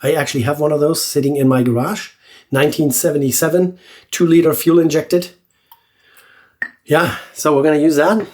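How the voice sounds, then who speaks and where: A man's voice: fairly high pitch, moderate pace, long pauses. A man with a blocked-up nose talks in a nasal, congested voice as he reviews shaving gear. He speaks casually and easily, with lots of fillers and restarts. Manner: relaxed, chatty, with many fillers and restarts. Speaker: shaving channel host. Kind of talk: casual product review vlog.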